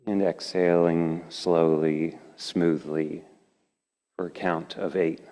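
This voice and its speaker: A man leading a guided meditation as a teacher, speaking in gentle, slow phrases with long pauses. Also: low voice, level tone. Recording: reverberant hall.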